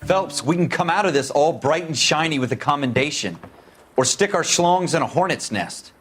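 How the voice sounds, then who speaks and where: A man's voice: fairly high pitch, animated, moderate pace, a bit bright. A man playing a cynical, tough patrol cop in a video game, talking in a wry, crude, street-wise way. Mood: cautioning, wry. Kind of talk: video game cutscene acting. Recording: music behind the voice.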